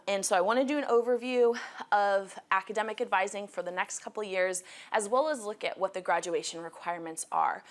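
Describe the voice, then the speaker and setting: A woman's voice: medium pitch, a bit bright. A young woman in her twenties, a college counselor, giving a friendly, organized school presentation to students and parents, wearing a mic, with frequent fillers. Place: school meeting room.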